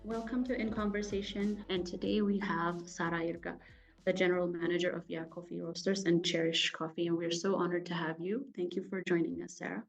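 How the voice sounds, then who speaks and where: Gravelly-voiced woman host. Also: on the low side, flat delivery, a little fast, faint music behind. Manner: gracious, welcoming. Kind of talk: interview hosting.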